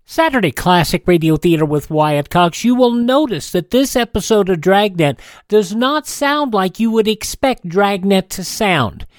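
A man's voice: high-pitched, animated, measured pace, few pauses, no room sound, studio quality. A man hosting a classic radio program, introducing it informally. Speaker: radio host.

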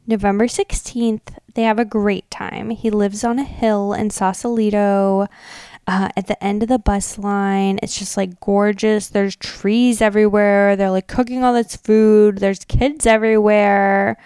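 A woman's voice: medium pitch, flat delivery, measured pace, darkish tone, clean tone, dry recording, clean studio sound. An American woman co-hosting a podcast, retelling things casually in informal, slangy speech peppered with "like". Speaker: podcast co-host. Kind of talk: casual chatty retelling and commentary.

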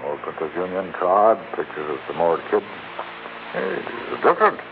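A gruff, cynical investigator in a 1960s radio play. His deep voice swings up and down through slangy wisecracks, heard on a tinny old recording. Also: huge pitch swings, normal speed, slight background noise, exaggerated voice. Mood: casual, probing. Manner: slangy, colloquial, sardonic. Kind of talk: 1960s radio drama dialogue.

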